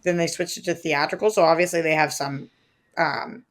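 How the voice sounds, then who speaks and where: A woman's voice: sits low, fairly even intonation, moderate pace. A woman co-hosting a casual movie podcast, hedging her opinions conversationally and often quoting her own thoughts. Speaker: podcast co-host.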